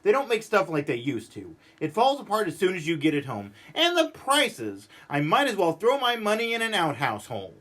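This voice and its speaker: Man playing a grumpy stubborn storybook fellow, high voice, wildly varied pitch, emphatic, exasperated, complaining. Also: huge pitch swings, moderate pace, few pauses, bright, forceful tone, exaggerated voice. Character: cranky, grumbling, stubborn man who hates everything. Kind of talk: animated storybook read-aloud.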